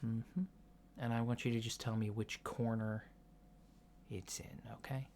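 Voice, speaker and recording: A soft-spoken man doing an ASMR roleplay as a calm, hesitant alien doctor, matter-of-fact and clinical. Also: lowish voice, measured pace. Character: calm, mildly awkward alien doctor giving a checkup. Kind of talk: ASMR medical checkup roleplay. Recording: lo-fi home recording with background noise.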